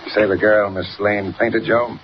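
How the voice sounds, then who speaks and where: An actor playing a cold, scheming saboteur boss, a villain in a 1940s radio serial. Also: male voice, lowish voice, fairly even intonation, measured pace, natural voice. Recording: muffled, noisy 1940s radio recording.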